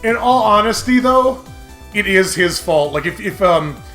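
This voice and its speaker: A man's voice: sits high, lively intonation, measured pace, pushed and bright. A man giving casual, rambling, argumentative commentary as host of a video game playthrough. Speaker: host of a visual novel let's play. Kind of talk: casual jokey game commentary, reading dialogue aloud. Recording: background music.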